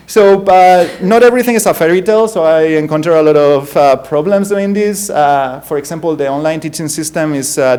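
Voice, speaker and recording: A young adult man from Spain with a strong Spanish accent, giving a conference keynote as a bioengineering professor, halting with many fillers, restarts and small self-deprecating laughs. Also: fairly high pitch, a little mellow. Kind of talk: conference talk with slides.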